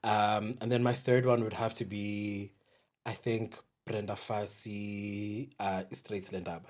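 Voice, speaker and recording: South african guy, kinda low voice, just chatting casually on a podcast, says like and you know. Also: level tone, slow pace, darkish tone. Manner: chatty, informal, peppered with 'like' and 'you know'. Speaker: podcast guest, DJ and music producer. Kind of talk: casual chat.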